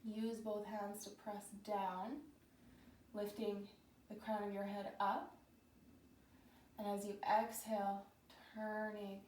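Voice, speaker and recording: A woman teaching a yoga class, giving gentle, steady cues with a slightly scratchy voice and clearing her throat now and then. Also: medium pitch, slow pace, pauses often. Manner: calm, gentle cueing with frequent throat clearing. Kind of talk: guided yoga instruction. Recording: roomy echo from a distant mic with background music. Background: soft music.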